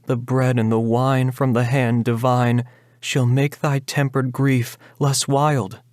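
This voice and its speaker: A man's voice: mid-range voice, flat delivery, slow pace, a little mellow, no room sound, studio quality, natural voice. Man voicing a pious, pleading older mother. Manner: prayerful, soothing, imploring. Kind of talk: dramatic reading of a gothic ballad.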